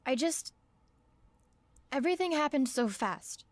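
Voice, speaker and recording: A woman playing an earnest, apologetic journalist with a halting manner in a naturalistic audio drama. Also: high voice, animated, dry recording. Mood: apologetic, troubled.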